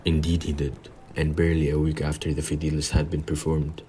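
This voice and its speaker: A man playing a grave, pompous older government minister in an audio play, speaking in a measured, formal way. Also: very deep voice, monotone, mellow, slight background noise.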